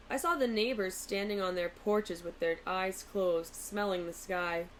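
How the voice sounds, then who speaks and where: A woman's voice: mid-range voice, rough recording. Woman reading a storybook, faint background noise. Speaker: teacher reading a picture book aloud. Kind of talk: storybook narration.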